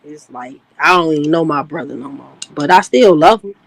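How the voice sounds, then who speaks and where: A woman's voice: low-pitched, lively intonation, fairly quick, gravelly, low-quality recording. A woman in her late thirties from Chicago, chatting candidly and casually on an online panel in slangy, laid-back talk. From Chicago, USA. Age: 30s. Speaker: panel guest.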